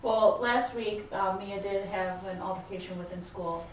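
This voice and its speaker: A woman playing a concerned, caring mother in a family therapy role-play, speaking earnestly and haltingly. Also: medium pitch, close to an everyday voice. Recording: echoey room, distant mic, faint noise.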